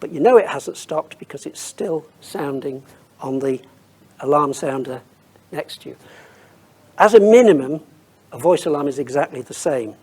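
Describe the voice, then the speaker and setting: A man's voice: fairly high pitch, animated, moderate pace, pauses often, darkish tone, breathy edge. A man speaking as an industry expert on a panel, explaining technical points informally and thinking aloud as he goes.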